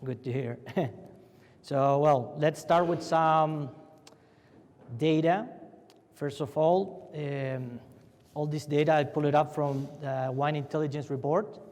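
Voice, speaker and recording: A man's voice: a little high, steady tone, takes it slowly, darkish tone. A young man from Chile with a Chilean Spanish accent, speaking as a winery panelist in a loose, chatty way full of fillers and restarts. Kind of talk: conference fireside chat. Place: large conference hall. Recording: long hall reverb.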